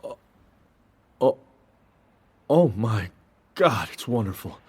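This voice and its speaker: An actor narrating as an earnest young student, telling his story in an earnest, wry and lively way. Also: medium pitch, normal speed, no echo, close to an everyday voice. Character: earnest young Japanese American male student. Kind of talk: one-man stage play narration.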